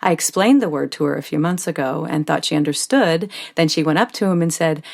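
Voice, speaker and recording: An actress playing a tired, loving young mother who tells her story with intimate, wry warmth in a scripted monologue. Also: deep voice, fairly quick, creaky. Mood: tender.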